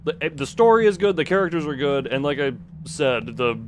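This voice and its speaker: A man with a fairly high, very animated voice gives casual commentary on a game stream. He rambles, restarts and rephrases as he chats to viewers, with noticeable noise behind him. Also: brisk. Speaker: live streamer playing a horror game.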